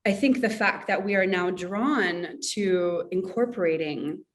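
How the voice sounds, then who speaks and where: A fairly low-voiced woman talking in long, effusive, rambling turns, often doubling back to restart a thought. She is a guest on a video call. She sits a little away from her microphone, so her voice sounds distant. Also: measured pace. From Russia. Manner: effusive, rambling, circles back mid-sentence. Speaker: author, interview guest. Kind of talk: casual interview chat.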